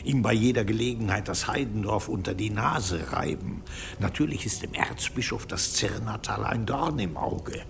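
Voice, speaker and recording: An actor playing a stern, impatient medieval bishop in an audio drama, commanding and reproachful in manner. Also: male voice, fairly low pitch, moderate pace, vocal fry, background noise. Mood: bitter. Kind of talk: German audio drama acting.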